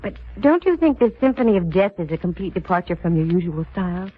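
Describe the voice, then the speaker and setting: An actress playing a wry, poised, quick-witted lady private eye in 1940s radio detective style. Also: fairly low pitch, expressive, slightly rough. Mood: wry banter. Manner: wisecracking, poised. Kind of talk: 1940s radio detective drama acting, with narration. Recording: tinny, noisy old radio recording.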